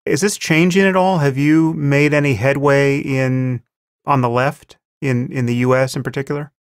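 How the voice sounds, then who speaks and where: A man hosting a podcast interview, asking calm, deliberate questions. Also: mid-range voice, smooth, no echo, studio quality. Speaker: podcast host.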